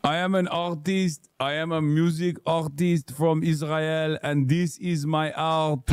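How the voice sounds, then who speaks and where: A man in a stream clip, speaking slowly and theatrically in a mock-solemn way. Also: on the high side, level tone, clean tone, no room sound, studio quality.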